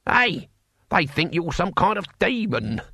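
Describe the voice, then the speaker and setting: A man voicing a very old barbarian warrior in a comic fantasy audiobook, cheerfully menacing, cackling and rough-spoken. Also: high-pitched, big pitch swings, measured pace, pushed and bright, no echo, studio quality, pitched above the performer's own voice, full character voice. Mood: gleefully bossy. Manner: cackling, rough colloquial speech.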